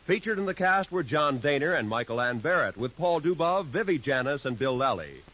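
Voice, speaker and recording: Bright, booming radio announcer man. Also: sing-song, fairly quick, poor audio. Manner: crisp, booming announcer delivery. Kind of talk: 1950s radio closing credits.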